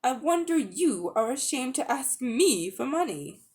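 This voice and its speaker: A woman's voice: high-pitched, sing-song, a little slow, darkish tone, pitched above the performer's own voice. Woman acting a firm, disapproving aunt. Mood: stern refusal. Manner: firm, stern, unyielding. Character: firm, quiet, disapproving aunt in late middle age. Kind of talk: dramatic audiobook reading.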